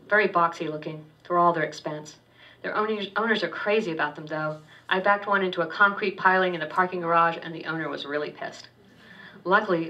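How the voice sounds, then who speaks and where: A woman author reading her first-person fiction aloud at a public university reading, steady and wry with small stumbles. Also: on the low side. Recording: distant dull lo-fi recording.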